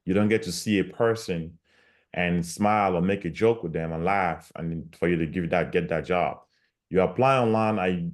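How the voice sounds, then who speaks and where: Man, from cameroon, very deep voice, casual emphatic talk, video call. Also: a little mellow. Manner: repetitive, conversational, emphatic. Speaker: guest speaker on job searching.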